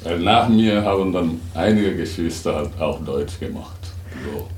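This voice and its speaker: A middle-aged man from Senegal, talking casually and reflectively as an interview guest, halting now and then. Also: sits low, darkish tone. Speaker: interview guest, writer and German teacher. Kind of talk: casual, unscripted interview talk. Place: radio studio. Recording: roomy, distant mic, faint noise.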